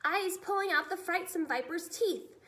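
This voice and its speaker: A woman's voice: extremely high pitch, normal speed, fairly bright tone, pitched above the performer's own voice. A woman voicing a kindly, bossy giant with folksy, mangled grammar in a children's storybook reading. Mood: reassuring, commanding. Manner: ungrammatical, folksy mangled words. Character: kindly big friendly giant, bossy and reassuring.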